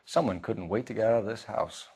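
An American man playing a sharp, businesslike 1940s police detective in a video game, speaking in clipped, matter-of-fact remarks. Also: fairly low pitch, brisk, breathy edge. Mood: musing, inquisitive.

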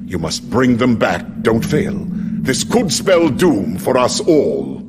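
An actor playing a shrewd, grave middle-aged military adviser in a game cutscene, speaking in a measured, formal way. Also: male voice, mid-range voice, sing-song. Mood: urgent. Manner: measured, formal, theatrical delivery. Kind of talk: dramatic live-action game cutscene acting. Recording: loud ambient hum behind voice.

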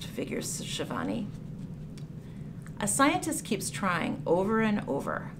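A woman reading a picture book aloud for children, with deliberate, clearly phrased storytelling delivery. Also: medium pitch. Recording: clear background noise, room ambience.

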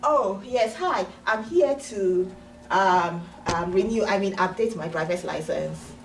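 A woman acting an excitable, apologetic immigrant woman in a comedy sketch, with a Ghanaian accent. She gushes and restarts eagerly. The sound is roomy and distant, with a light hiss. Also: medium pitch, slow pace. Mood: polite, flustered. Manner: gushing, hesitant restarts. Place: indoor office waiting room and counter. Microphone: far camera.

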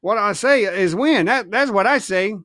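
A man's voice: high voice, lively intonation, moderate pace, pushed and bright, no room sound, clean studio sound. A man reading a storybook, voicing an impatient, mutinous pirate coxswain who grumbles in colloquial sailor speech. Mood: impatient, grumbling.

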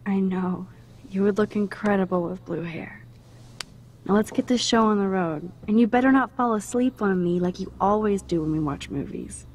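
A woman voicing a shy, thoughtful teenage girl in a video game, talking gently and often in a soft, self-critical inner monologue. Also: medium pitch, normal speed, a little hiss or hum, natural voice. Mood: affectionate.

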